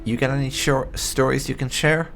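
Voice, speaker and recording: A man's voice: medium pitch, steady tone, normal speed, vocal fry, fairly bright tone. A man giving casual, rambling let's play commentary as a gaming YouTuber.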